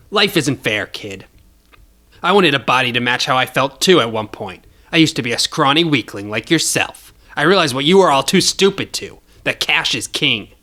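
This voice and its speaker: A man's voice: mid-range voice, sharp tone. Man acting a smug, cruel bounty hunter. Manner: taunting, sneering, drawling for effect. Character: smug, cruel young male bounty hunter, cocky and sadistic.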